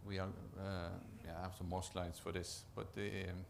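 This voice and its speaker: Deep gravelly Norwegian man presenting. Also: little pitch movement, slight background noise. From Norway. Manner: halting, often restarts and repeats words. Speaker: project manager presenting at a conference. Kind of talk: conference presentation.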